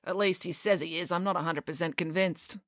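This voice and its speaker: A woman's voice: sits high, huge pitch swings, slow, pushed and bright, no echo, clean studio sound, pitched above the performer's own voice, exaggerated voice. A woman voicing a blunt, sulky, contrary young girl in a children's audiobook, snappy and sardonic. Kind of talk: children's audiobook character acting.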